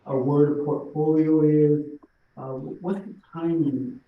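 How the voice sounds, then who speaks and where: A soft-spoken man, a board member, asking a halting question at a public meeting. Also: fairly high pitch, fairly even intonation, slight background noise. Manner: halting, searching for words. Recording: distant, echoey, phone-like sound.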